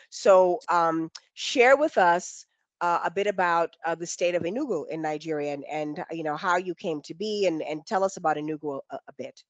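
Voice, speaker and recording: A woman hosting a casual interview, chatting in an animated way, often restarting her sentences and teasing. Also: on the low side, no echo. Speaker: interview host.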